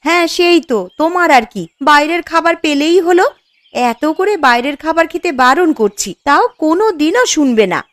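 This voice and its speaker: A woman voicing a fisherman's wife in a cartoon, fussing and gently scolding him. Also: high voice, lively intonation, normal speed, no room sound. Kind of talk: cartoon dialogue.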